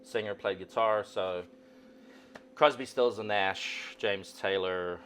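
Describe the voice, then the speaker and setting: A man's voice: on the low side, fairly even intonation, slow pace, fairly bright tone, a little hiss or hum. A man with a South African accent chatting casually as an interview guest, playful and rambling, often repeating words. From South Africa and Zimbabwe. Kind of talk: casual chat.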